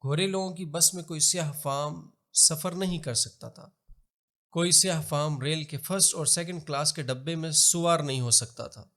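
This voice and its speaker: A man with a Pakistani accent reads scripted historical narration in a formal, measured, expository manner. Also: on the high side, fairly bright tone. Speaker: documentary narrator.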